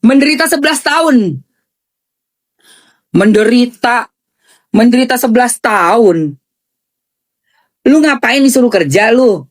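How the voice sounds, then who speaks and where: Woman gossip commentator, eastern Indonesian accent, sarcastic, emphatic, slightly high voice. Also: lively intonation, measured pace, pauses often. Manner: sarcastic, emphatic, repeats phrases for mockery.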